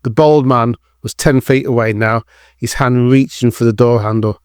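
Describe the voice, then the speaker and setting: A man's voice: medium pitch, fairly even intonation, normal speed, smooth, no echo. Guy narrating a dramatic audio drama, mid-range voice, really dry studio-like sound. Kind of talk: scripted dramatic story narration.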